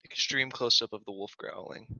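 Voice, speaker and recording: A man's voice: medium pitch, normal speed, sharp tone, dry recording. A man reading camera shot directions at a script read, with muffled video call audio.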